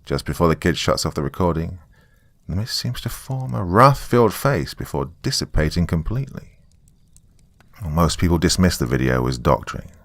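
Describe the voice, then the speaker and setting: Male narrator, low-pitched voice, highly varied intonation, first-person horror storytelling, wry conversational delivery. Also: expressive, normal speed, no room sound, clean studio sound.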